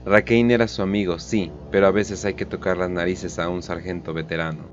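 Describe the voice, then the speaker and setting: A man narrating a dramatic war story as a scripted audio drama, solemn and deliberate. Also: low-pitched, level tone, lo-fi sound, faint music behind.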